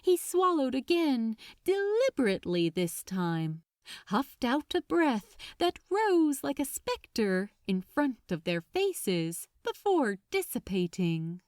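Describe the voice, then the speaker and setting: Expressive, high-pitched, unhurried woman narrator. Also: dry recording, studio quality. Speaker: audiobook narrator. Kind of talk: dramatic scripted fiction narration.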